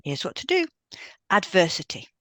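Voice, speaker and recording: A woman's voice: lowish voice, wildly swooping pitch, normal speed, pushed and bright, no echo, studio quality. A woman reading a semi-scripted explanatory podcast as co-host.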